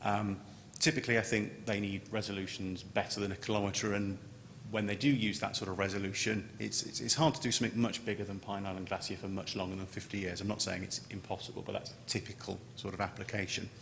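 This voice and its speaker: A man giving an unscripted technical conference presentation as a scientist, halting and often repeating words as he starts a phrase. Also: flat delivery, gravelly, a bit bright. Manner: halting, with stammered word repetitions. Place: large conference room. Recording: echoey hall, noticeable background noise, low rumble.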